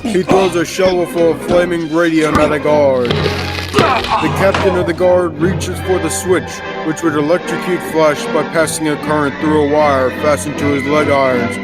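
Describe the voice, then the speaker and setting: Music plays behind a man narrating an old-time radio adventure serial. His voice is on the high side for a man, and he tells the story dramatically from a script. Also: steady tone, moderate pace, poor audio.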